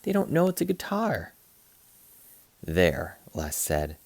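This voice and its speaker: A man reading a children's picture book aloud like a teacher, in an expressive, playful way. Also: deep voice, takes it slowly, creaky, no room sound. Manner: expressive, playful, acts out sound effects.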